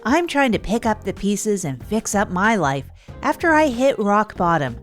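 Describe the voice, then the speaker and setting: A woman's voice: medium pitch, very animated, moderate pace, faint music behind, lightly acted. A woman playing an upbeat, awkward, down-on-their-luck local radio host in an improvised comedy audio drama, chatting informally and hesitantly. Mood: welcoming. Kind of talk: improvised comic monologue, chatty radio storytelling.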